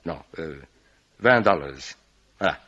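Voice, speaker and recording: An actor playing a guarded, frightened American in a radio mystery, gruff, suspicious and hesitant. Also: male voice, low-pitched, lively intonation, slow, muffled recording, exaggerated voice. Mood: uneasy, suspicious. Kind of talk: French radio mystery play acting.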